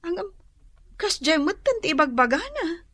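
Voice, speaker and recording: A woman playing a hurt, soft-hearted young woman in an Ilocano radio drama, plaintive and troubled, with an Ilocano accent. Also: very high-pitched, very animated, moderate pace, dry recording, full character voice.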